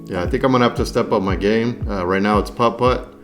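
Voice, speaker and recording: A man's voice: lowish voice, fast talker, a bit bright, faint music behind. A man talking casually on a podcast, explaining his points in an encouraging, conversational way. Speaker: podcast co-host or guest. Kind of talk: casual conversational movie discussion.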